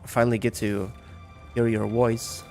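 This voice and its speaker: A man's voice: mid-range voice, flat delivery, a little slow, darkish tone. Lithuanian man chatting over music. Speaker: game streamer. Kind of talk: casual gameplay commentary and chat. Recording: music behind the voice.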